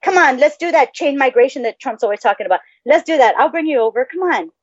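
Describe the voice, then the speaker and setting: A Mexican American woman from the Texas border co-hosting a casual podcast, excitable, laughing easily and swearing freely. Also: sits high, fast, breathy edge, no echo, dull audio. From Texas border region, USA. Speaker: podcast co-host. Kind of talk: casual chat.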